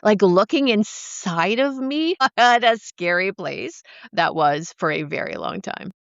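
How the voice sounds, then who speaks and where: A woman's voice: mid-range voice, expressive, measured pace, creaky, no room sound. Earnest woman, dull dry sound. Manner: earnest, often tags lines with "right?"; stumbles and repeats words while thinking. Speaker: podcast co-host. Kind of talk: casual conversation on leadership.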